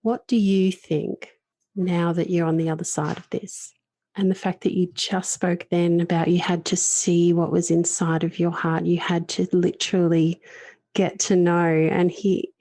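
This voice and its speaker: A woman's voice: on the low side, mellow, no echo. A woman hosting a podcast, talking earnestly and reflectively about her own experiences. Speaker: podcast host.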